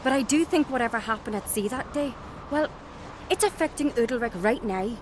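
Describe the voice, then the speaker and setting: A woman's voice: fairly high pitch, animated, a little fast, noisy background, close to an everyday voice. A woman voicing a determined young island noblewoman. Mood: earnest. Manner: earnest and persuasive. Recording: game audio with loud music.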